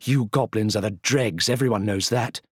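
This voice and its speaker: A haughty elf queen, put on by a man, her voice pushed high and loud with commanding swoops. She sounds cold and scornful, slightly husky. The recording is dry. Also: big pitch swings, unhurried, no echo, heavily acted.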